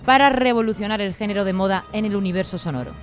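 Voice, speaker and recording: A woman's voice: medium pitch, quick pace, over music. A woman with an accent from Spain co-hosting a radio show, in chatty dialogue and scripted introductions. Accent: Spanish, from Spain. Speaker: radio co-host.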